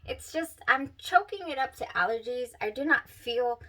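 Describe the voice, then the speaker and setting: A woman's voice: sits high, expressive, normal speed, sharp tone, distant mic, low-quality recording. Nasal, stuffed-up woman gushing. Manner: gushing, breathy sighs over scents. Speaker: perfume reviewer, channel host. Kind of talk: casual talk to camera.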